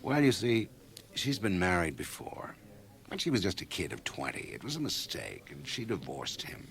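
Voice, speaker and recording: Deep-voiced, pompous society man. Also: sing-song, normal speed, a bit bright, full character voice. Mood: confiding, smitten. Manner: hearty, pompous, confiding. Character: self-satisfied, ambitious, wealthy society man, smitten. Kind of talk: radio drama acting. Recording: 1980 radio drama on cassette.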